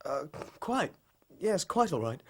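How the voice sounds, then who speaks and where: A young English gentleman sportsman in a radio drama, politely covering his distress. His voice is fairly high and very changeable, reassuring yet agitated. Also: big pitch swings, takes it slowly, breathy edge, heavily acted. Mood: uneasy. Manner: polite, evasive, shaky reassurance. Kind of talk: audio drama acting.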